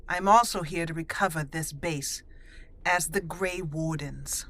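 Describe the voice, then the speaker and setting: A woman's voice: deep voice, measured pace, a bit bright. A woman voicing a stern, skeptical elf mage while roleplaying a video game, speaking in a firm, plain way.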